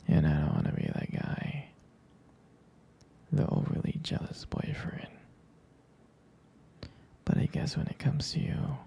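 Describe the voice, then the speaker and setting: Male performer as protective jealous boyfriend, tender ASMR roleplay, very dark soft tone, heavy gravelly fry, hesitant delivery. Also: on the high side, exaggerated voice. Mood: uneasy, jealous. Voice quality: breathy, husky, gravelly whisper. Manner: slow, hesitant, soft-spoken.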